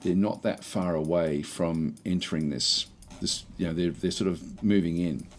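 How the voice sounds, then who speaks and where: A man with a croaky voice casually talking through a strategy game, thinking aloud with many fillers and restarts. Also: low voice, moderate pace, slight background noise. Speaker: gameplay commentator.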